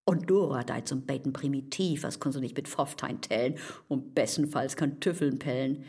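A bold, cunning woman in a comic radio play, speaking northern Low German. Her husky voice swings widely from theatrical exclamations to conspiratorial near-whispers, all in rhyme. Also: darkish tone, heavily acted.

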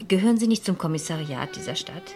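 An actress playing a shy but determined schoolteacher turned amateur sleuth in a radio play, speaking politely and persuasively. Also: female voice, mid-range voice, huge pitch swings, fast, music in the background. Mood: polite, insistent. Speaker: narrator and lead character. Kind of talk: 1970s German radio play acting.

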